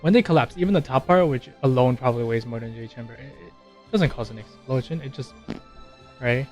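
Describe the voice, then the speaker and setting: A man's voice: medium pitch, brisk, darkish tone. A young man giving casual game commentary, thinking aloud in rambling asides. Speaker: gaming streamer. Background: game music.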